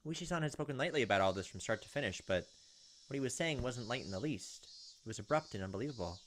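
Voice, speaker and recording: A man's voice: mid-range voice, expressive, fast talker, slight background noise. A man hosting a let's play, reading game text aloud and adding wry, casual commentary. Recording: faint game music behind the voice.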